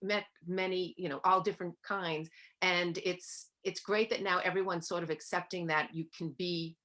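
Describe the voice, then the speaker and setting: A woman with a Boston accent hosts the interview in a slightly lowish voice. She chats easily and agreeably, filling her sentences with "you know". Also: fairly even intonation, normal speed, a bit bright. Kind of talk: conversational interview.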